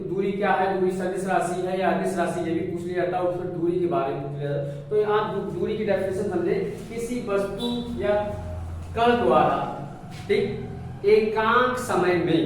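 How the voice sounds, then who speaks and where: Guy teaching a class, high pitched, keeps repeating and quizzing, super echoey room w faint noise. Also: recorded from a distance. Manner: didactic, repeats phrases and questions. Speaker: physics teacher. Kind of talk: classroom lecture with repeated check questions. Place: indoor classroom with a board.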